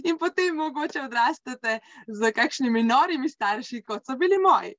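Live interpreter, woman, 30s to 40s, slightly high voice, dry but lo-fi call sound. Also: expressive, normal speed, no echo. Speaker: consecutive interpreter. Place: small indoor room, video call. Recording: dull lo-fi video-call sound.

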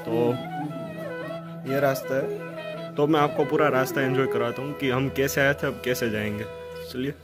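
A man's voice: medium pitch, fairly even intonation, moderate pace, pauses often. A man, explaining things to viewers in a casual vlog style and often repeating himself. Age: 20s. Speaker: vlogger and on-site guide. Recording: loud music under speech. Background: loud instrumental music.

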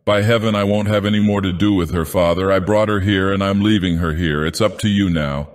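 A man voicing a gruff, drunken, superstitious schooner captain in a storybook narration, grumbling and emphatic with fear underneath. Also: low voice, steady tone, brisk, long reverb tail, natural voice. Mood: agitated, defiant.

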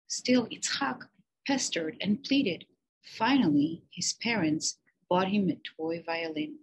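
A teacher reading a children's picture book aloud, slow and expressive with emphatic pauses. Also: female voice, fairly low pitch, poor audio.

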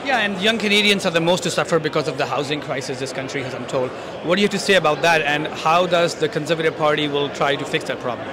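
A man asks his questions quickly but haltingly, stopping for fillers. His voice is slightly high. He holds a handheld mic in a convention hall with clear crowd chatter behind him. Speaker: interviewer. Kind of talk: asking interview questions. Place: large convention hall lobby, indoors.

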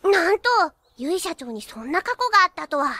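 A woman's voice: extremely high pitch, sing-song, quick pace, bright, forceful tone, dry recording, full character voice. A woman playing a perky, cheeky teenage idol magical nurse in an anime, with cutesy catlike tics. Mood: nosy. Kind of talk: anime character acting and excited narration.

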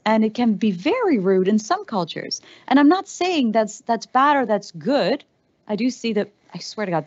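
Woman in her 30s from the Netherlands, keynote speaker; lively, chatty stage delivery on a headset mic, mid-pitched, slightly dull recording. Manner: animated, conversational, frequent fillers. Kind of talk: conference talk. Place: large stage hall.

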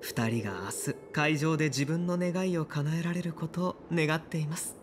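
An actor playing a polite, composed male military doctor in a video game, speaking in a courteous, measured, formal way. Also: a little high, slight background noise, natural voice. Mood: polite, reserved.

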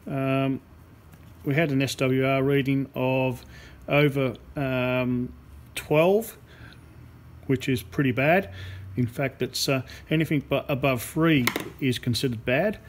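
A man explaining a hands-on radio repair as a technician, unscripted, with a halting delivery and long pauses. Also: mid-range voice, fairly even intonation. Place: indoor workbench in a repair workshop. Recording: clear background noise, workshop ambience. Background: workshop room noise.

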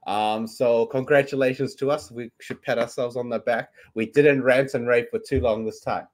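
A man's voice: mid-range voice, steady tone, normal speed. A South African man in his thirties, Afrikaans his first language, hosting a casual sports talk show from his bedroom over a video call, rambling with plenty of ums. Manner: chatty, rambling, lots of ums. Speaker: podcast host. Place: bedroom at home. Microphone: webcam on video call.

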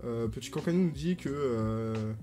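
Mellow man chatting on a livestream. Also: medium pitch, moderate pace. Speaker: livestream co-host. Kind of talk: casual chat, reading viewers' messages. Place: indoor room, livestream. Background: music.

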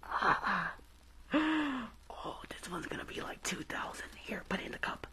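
A woman playing a rude, impatient, crooked dentist in a whispered ASMR roleplay, muttering bossy, repeated orders in a breathy voice. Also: a little high, lively intonation, a little slow, sharp tone. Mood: greedy delight. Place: small indoor room, livestream setup.

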